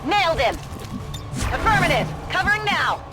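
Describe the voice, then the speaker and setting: A brisk soldier woman from a video game. She delivers clipped, urgent combat barks with huge pitch swings, over loud music. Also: big pitch swings.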